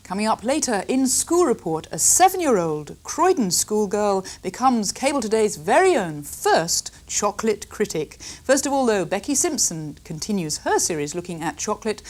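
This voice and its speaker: British female presenter for a local magazine show, mellow timbre, medium pitch, studio interview delivery. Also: sing-song, normal speed, few pauses.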